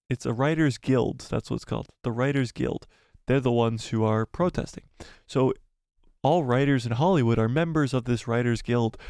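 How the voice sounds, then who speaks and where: A man hosting a casual live stream, rambling through commentary and reading out viewer comments, his voice going hoarse. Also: medium pitch, darkish tone, dry recording, clean studio sound. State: losing his voice.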